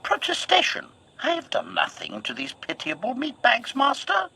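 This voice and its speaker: Video game assassin droid: metallic processed voice, creaky, very animated pitch, formal and servile with a lethal streak. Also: male voice, normal speed, exaggerated voice. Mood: defensive, scornful. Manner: formal, announces each statement with one word.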